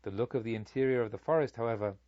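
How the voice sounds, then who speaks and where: A man reading a literary story aloud as an audiobook narrator, in a measured, formal storytelling manner. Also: medium pitch, darkish tone, no room sound, highs cut off.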